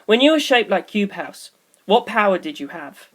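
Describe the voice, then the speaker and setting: Woman reading as a measured, inquisitive young detective. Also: mid-range voice, sing-song. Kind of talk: audiobook character dialogue.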